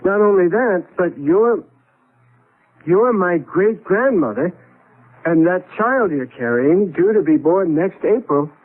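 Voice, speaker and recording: Thin, tinny radio sound frames a wry, bewildered husband. His fairly high male voice is earnest and emphatic, with the stagey delivery of a 1970s radio play. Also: lively intonation, slow pace, full character voice. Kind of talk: 1970s radio drama acting. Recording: tinny, band-limited vintage radio recording.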